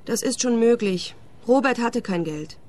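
A woman's voice: on the high side, lively intonation, a little slow, a little mellow. An actress playing a young woman in a radio drama who answers the questions bluntly and defensively, in a matter-of-fact tone. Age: young adult. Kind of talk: radio play acting.

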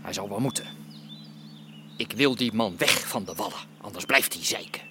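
An actor in an audio drama playing a greedy, vengeful crime boss who threatens, sneers and demands. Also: male voice, on the low side, moderate pace, very noisy, lightly acted.

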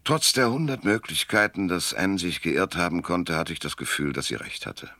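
An actor playing a world-weary, laconic private detective who narrates in a dry, sardonic, gravelly way in a German noir radio drama. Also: male voice, low voice, expressive, moderate pace, bright, forceful tone, no room sound, heavily acted. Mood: brooding, measured.

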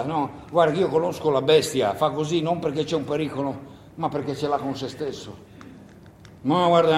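A man's voice: mid-range voice, a little mellow, close to an everyday voice. An actor playing a rambling, coaxing country man in a theatrical radio monologue, hesitating and repeating himself as he urges someone on. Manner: hesitant, repetitive, coaxing, full of asides. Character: wheedling, rambling country man urging a young partisan to hide. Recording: echoey hall, long reverb, faint noise.

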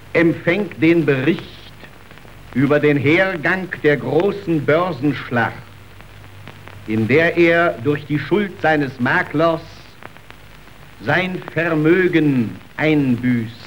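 A man's voice: fairly high pitch, very slow. A man reading scripted scene announcements as the narrator of a radio play. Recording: dull, faintly noisy radio recording.

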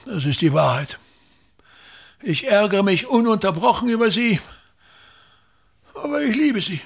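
Radio drama: self-important older patriarch of the stage, creaky voice, very animated pitch, pompous delivery. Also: male voice, measured pace, full character voice. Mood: indignant, grandiose. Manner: pompous, declamatory, emphatic repetitions. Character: pompous, tyrannical older actor-patriarch, grandiose and aggrieved. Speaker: actor. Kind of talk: theatrical radio drama monologue.

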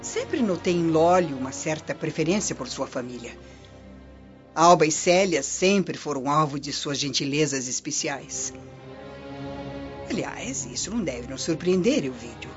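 A woman's voice: low voice, animated, unhurried. A woman acting a scheming, vengeful Roman noblewoman in a radio drama, with formal, theatrical, insinuating delivery. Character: scheming vengeful Roman noblewoman, falsely confiding prefect's wife. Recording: muffled lo-fi recording under loud music.

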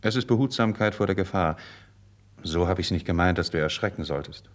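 A low-pitched, self-assured nobleman from a 1950s radio play, performed by an actor. He is loyal and courteous, with measured theatrical diction and firm control. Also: close to an everyday voice. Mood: calm, insistent. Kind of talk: classical German radio drama acting, 1950s.

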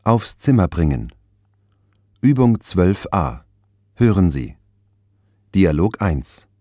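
Slow, low-voiced man announcing exercises. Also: big pitch swings, dark tone, no room sound, clean studio sound. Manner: measured, instructional. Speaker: language course narrator. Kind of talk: scripted announcing of textbook exercises.

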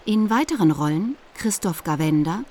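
A woman reading scripted radio credits as an announcer, in a measured, list-like way. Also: on the low side, expressive, a little mellow.

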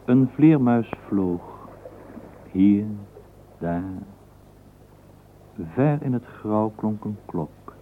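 A tinny old radio recording carries a male storyteller with a low, husky voice. He narrates a literary drama slowly and deliberately, with measured, wry phrasing. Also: animated, slight background noise.

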